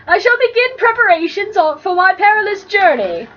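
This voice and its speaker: A self-important young hobbit gentleman, acted out by a woman from a storybook adventure. The voice is very high and swoops dramatically as it declaims grandly. The recording is slightly muffled. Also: sing-song, normal speed, full character voice. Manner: declaiming, theatrical.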